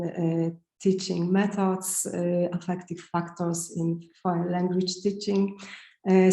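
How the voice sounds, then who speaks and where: A woman from Poland with Polish as her first language, introducing herself haltingly with many fillers on a video call. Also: lowish voice, dark tone, roomy sound, poor audio. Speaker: conference participant.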